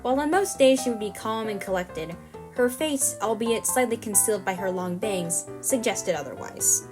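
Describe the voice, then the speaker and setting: Female narrator for an audio drama, mid-range pitch, scripted storytelling with clear music underneath. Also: animated, moderate pace.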